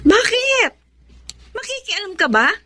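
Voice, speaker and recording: A woman's voice: extremely high pitch, big pitch swings, measured pace, pushed and bright, exaggerated voice. An actress playing a defiant younger sister who firmly pleads for her mother in a radio drama. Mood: questioning. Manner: pleading, firm.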